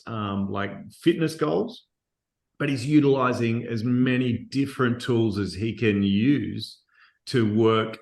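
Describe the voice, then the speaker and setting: A man hosting a live podcast, introducing his guest with many fillers and pauses. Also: lowish voice, echoey room. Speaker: podcast host.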